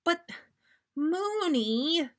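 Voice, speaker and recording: An eager, mischievous man in a read-aloud story, acted out by a woman. The voice is pushed up high and wheedles with a show of innocence, like a schemer who whines to get his way. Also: animated, extremely slow, heavily acted. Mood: whining, pleading.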